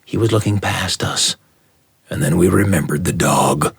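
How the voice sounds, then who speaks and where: A middle-aged man telling a first-person story aloud in a dramatic, suspenseful way, pausing deliberately for effect. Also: low-pitched, vocal fry, breathy edge, dry recording, clean studio sound. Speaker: storyteller and show host.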